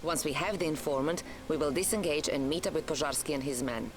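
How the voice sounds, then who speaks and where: An actress playing a determined Polish partisan commander in a military game, giving deliberate orders in a Polish accent. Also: female voice, low voice, lightly acted. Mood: resolute, commanding. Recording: battle noise behind the voice.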